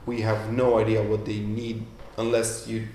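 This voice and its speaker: Male entrepreneur, halting on stage, roomy echo. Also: fairly low pitch, completely flat delivery, warm tone, slight background noise. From Romania. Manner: hesitant, many fillers and restarts. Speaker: guest entrepreneur. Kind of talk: live interview at an event. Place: event room. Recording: echoey room with faint ambience.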